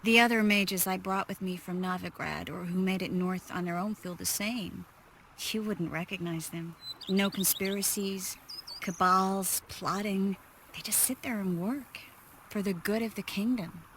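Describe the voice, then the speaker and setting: An actress playing an affectionate, worldly sorceress in a video game, speaking softly and teasingly to her lover. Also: mid-range voice, steady tone, unhurried, a little hiss or hum. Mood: calm, reflective. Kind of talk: video game cutscene acting.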